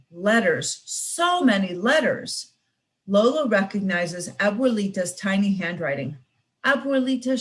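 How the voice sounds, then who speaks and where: Expressive young woman reading a storybook. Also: medium pitch. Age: young adult. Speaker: student reader. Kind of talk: reading a children's picture book aloud.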